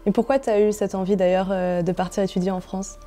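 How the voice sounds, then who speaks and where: A woman's voice: medium pitch, steady tone, a little fast, mellow, soft background music. A woman interviewer talking in a casual, informal and attentive way. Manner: informal, attentive with short backchannels.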